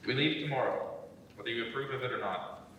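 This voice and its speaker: A man's voice: mid-range voice, fairly quick, sharp tone, lightly acted. Stubborn, reassuring young male hiker played by a student actor, mid-range voice, long hall reverb. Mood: earnest, resolute. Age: young adult. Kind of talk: dramatic script reading. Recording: very echoey hall, distant mic, faint noise.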